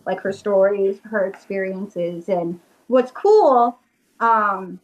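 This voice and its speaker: A woman's voice: medium pitch, lively intonation, slow pace, muffled recording. A woman with a Rhode Island accent, a guest chatting eagerly and casually, full of "like" fillers. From Rhode Island, USA. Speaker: guest, witch and paranormal investigator. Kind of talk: casual video-call interview chat.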